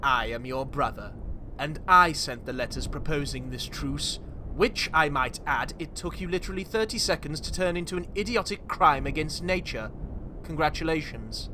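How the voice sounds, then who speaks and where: Male actor as scheming elderly villain, scornful, dry, measured, tense bright tone, comic dub. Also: a little high, animated, slight background noise, low-quality recording. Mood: contemptuous. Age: very old.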